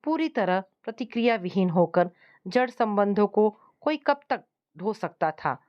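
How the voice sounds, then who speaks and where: A woman's voice: medium pitch, lively intonation, measured pace, darkish tone, muffled recording. Woman narrating a scripted domestic story.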